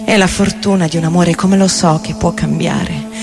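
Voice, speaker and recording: A woman giving a theatrical recitation of poetry in deliberate, short phrases. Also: lowish voice, fairly even intonation, creaky. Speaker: theatre reader and vocal performer. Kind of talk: poetic theatrical recitation over music. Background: experimental guitar and electronic loops.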